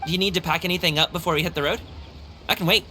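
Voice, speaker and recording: A man's voice: a little high, fairly even intonation, rapid-fire, heavy background noise, natural voice. A man playing a cheerful, friendly young male guard in a video game, chatty, upbeat and reassuring. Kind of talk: animated video game voice acting.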